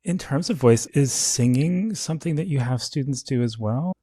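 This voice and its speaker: A man's voice: mid-range voice, animated, normal speed, mellow, husky, no room sound, studio quality. A man asking thoughtful, tentative questions as the interviewer on a podcast.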